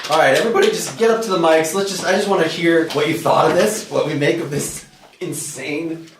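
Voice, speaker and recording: A man with a fairly high voice moderates a casual discussion as host. He is heard at some distance from the mic, with the room echoing around him. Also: brisk, very noisy. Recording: echoey room, far from mic.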